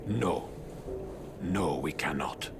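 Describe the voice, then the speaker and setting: An actor playing a grave, cautious old rat sensei and father in a video game, speaking in a measured, formal way. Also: extremely low pitch, expressive, vocal fry, exaggerated voice. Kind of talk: video game cutscene acting. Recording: loud music under voice.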